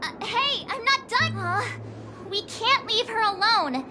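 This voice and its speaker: A woman's voice: very high voice, animated, slow pace, background noise, heavily acted. A woman voicing a perky, plucky, scatterbrained teenage girl adventurer in a video game. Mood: worried, plucky.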